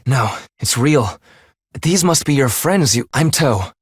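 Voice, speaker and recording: Stern, blunt young game leader. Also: male voice, mid-range voice, lively intonation, slow pace, slightly rough, no echo, studio quality, full character voice. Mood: reserved, curt. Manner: clipped, formal, coolly blunt, commanding. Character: stern, blunt young male half-human leader of escaped prisoners. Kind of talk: English video game voice acting.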